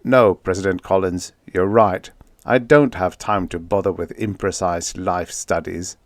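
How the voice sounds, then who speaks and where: A man's voice: deep voice, steady tone, unhurried, no echo. A man voicing a contemptuous, scheming male scientist in an audiobook, curt and condescending. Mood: smug. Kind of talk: audiobook character acting.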